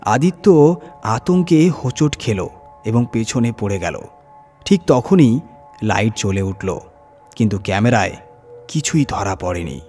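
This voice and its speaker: A man with a fairly low voice narrates a horror story. He reads dramatically, using suspenseful pauses and strong emphasis, with music clearly running behind him. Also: very animated, a little mellow. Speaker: horror story reader and channel host.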